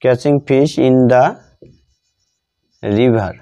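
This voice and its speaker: A Bengali-accented man gives a grammar lesson in a mellow, relaxed voice. He goes very slowly, dictating piece by piece and often repeating himself as he writes. His pitch sits in the middle range for a man. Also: smooth. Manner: halting, repetitive, word-by-word delivery. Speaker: English grammar teacher.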